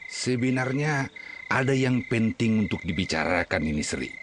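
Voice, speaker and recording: A kindly male neighborhood head played by a man speaks in formal, wordy drama style. His low voice swings expressively, with clear cricket and frog noise around it. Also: animated, moderate pace, natural voice. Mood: earnest.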